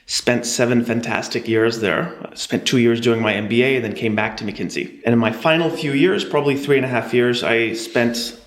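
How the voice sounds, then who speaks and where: A man, a startup chief executive, explaining his business in a measured, conversational way as an interview guest. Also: medium pitch, roomy sound. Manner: measured, explanatory, light laughs.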